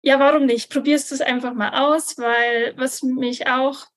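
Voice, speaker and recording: Female interviewee with a high voice, candid, laughing lightly. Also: fairly even intonation, unhurried, fairly bright tone. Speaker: course graduate.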